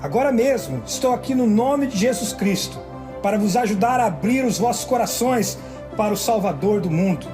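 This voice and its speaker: High-voiced man over video music. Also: animated, moderate pace. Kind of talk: testimony voice-over in a ministry video.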